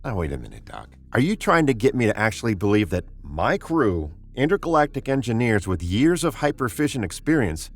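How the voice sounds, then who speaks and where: An American actor playing a brusque, impatient middle-aged spaceship commander in a 1950s radio drama, commanding and sardonic. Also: male voice, on the low side, big pitch swings, brisk, few pauses. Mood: exasperated.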